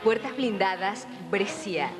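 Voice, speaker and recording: A woman's voice: medium pitch, expressive, slow pace. A middle-aged woman with an Argentine accent, a radio announcer reading scripted advertisements live. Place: television studio. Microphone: hanging studio microphone. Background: music.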